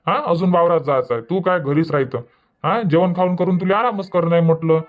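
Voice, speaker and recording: A grumbling old village husband, voiced by a man, complains and lectures in a dubbed cartoon comedy. He speaks with a Varhadi Marathi accent in a rather high voice. The recording sounds dull and lo-fi. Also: fairly quick, natural voice. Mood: lecturing. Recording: dull lo-fi audio.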